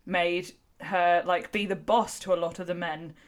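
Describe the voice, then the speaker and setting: A woman's voice: on the low side, fairly even intonation, moderate pace. A woman talking casually and frankly about a film as a podcast host, swearing freely and peppering her speech with "like". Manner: informal, opinionated, sweary, frequent "like". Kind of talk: casual chat, film discussion.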